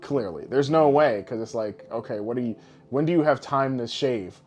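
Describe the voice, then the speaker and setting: A man's voice: mid-range voice, moderate pace, darkish tone. Casual, opinionated man over background music. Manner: informal, opinionated, profane. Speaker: podcast co-host. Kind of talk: casual podcast chat about pop culture. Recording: music playing under the voice.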